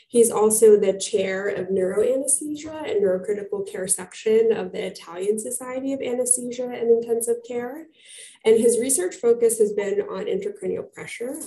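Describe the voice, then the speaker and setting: Female host, mellow gravelly voice, earnest formal intro, echoey room. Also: medium pitch. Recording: roomy, echoey.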